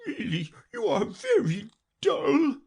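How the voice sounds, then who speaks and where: Mock Turtle: a very high, sobbing sea-creature. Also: huge pitch swings, creaky, a little mellow, exaggerated voice. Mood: indignant. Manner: slow, sobbing, sighing.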